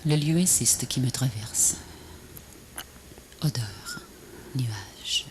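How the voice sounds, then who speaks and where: A breathy, often near-whispered voice recites poetry in a slow, meditative way, phrase by phrase with pauses. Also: medium pitch, animated, noisy background. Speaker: poet.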